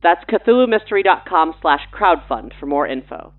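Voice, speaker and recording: Higher-voiced man with thin, phone-like sound. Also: sing-song, normal speed, faint music behind. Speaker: podcast host. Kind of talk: scripted promo with playful banter.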